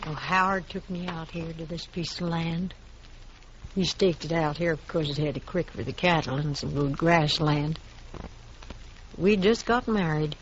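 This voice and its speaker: A woman's voice: sits low, a little slow. A woman playing a stubborn older frontier widow in a radio western, with feisty, folksy American speech. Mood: softening, wistful. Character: stubborn older widow, frontier homesteader guarding her land. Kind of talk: old-time radio western acting. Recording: dull lo-fi recording with clear background noise.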